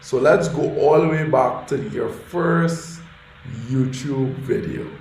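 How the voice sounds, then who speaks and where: Host role for a man: gravelly, dark-toned voice, slow and drawn out, heard in an echoey room. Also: a little high, fairly even intonation, a little hiss or hum. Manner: drawn-out, filler-heavy delivery. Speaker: event host and interviewer. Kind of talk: event introduction and interview.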